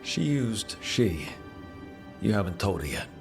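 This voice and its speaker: An actor playing a supportive, reassuring leader of an adventuring team in a video game. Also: male voice, lowish voice, big pitch swings, slow, creaky, exaggerated voice. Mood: gentle, supportive. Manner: reassuring, encouraging. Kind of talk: video game cutscene acting. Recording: music behind the voice.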